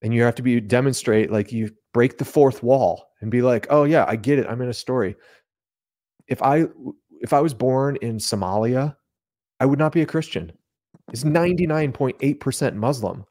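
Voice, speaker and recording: A man's voice: medium pitch, moderate pace, dark tone. A man talking casually and explaining ideas as a podcast guest, colloquial and given to voicing imagined remarks. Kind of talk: casual theological conversation.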